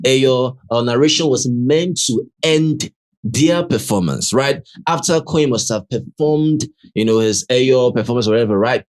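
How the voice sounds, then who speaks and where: A man with a Nigerian accent hosts a relaxed chat, keeping his voice at an ordinary middle pitch. He talks loosely and tags almost every phrase with a questioning "right?", sprinkling in Pidgin and Yoruba expressions. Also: a little slow. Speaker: host. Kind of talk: casual commentary.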